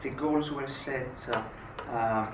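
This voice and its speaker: A man from Greece giving a conference presentation, pausing often with "uh" between phrases. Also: medium pitch, fairly even intonation. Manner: frequent "uh" hesitations. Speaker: conference speaker. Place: conference hall. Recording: echoey hall, distant mic, noisy.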